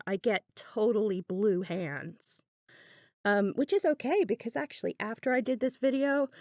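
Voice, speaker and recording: A woman's voice: medium pitch, dry recording, clean studio sound. A woman hosting a craft video in a casual, unscripted voiceover, musing aloud in a chatty, self-deprecating way. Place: indoors, voice-over recorded apart from the video.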